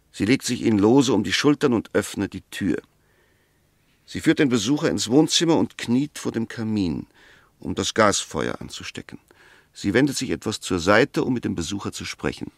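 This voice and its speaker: A defence lawyer in a sixties radio drama, with a fairly low voice in a dry studio sound. He is articulate and formal, building each point methodically with rhetorical emphasis. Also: dry recording. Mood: calm, narrating. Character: measured, incisive male defence lawyer arguing a murder case. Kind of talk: 1960s German radio play acting.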